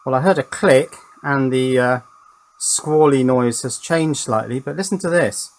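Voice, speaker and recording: A man's voice: medium pitch, a little slow. A man presenting a hands-on electronics demonstration in a casual, wry, understated way with dry humour.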